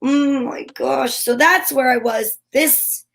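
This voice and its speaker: A woman's voice: high-pitched, slow pace, creaky, fairly bright tone, exaggerated voice. A woman playing a plucky, wisecracking female private eye in an old-time radio drama, telling her story dramatically. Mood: dramatic, suspenseful. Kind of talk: old-time radio detective acting, first-person narration.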